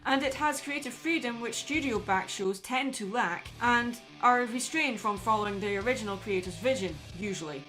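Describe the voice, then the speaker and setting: A woman delivering scripted opinion commentary as a video essayist, in a measured way with conversational asides. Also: a little high, fairly bright tone. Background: background music.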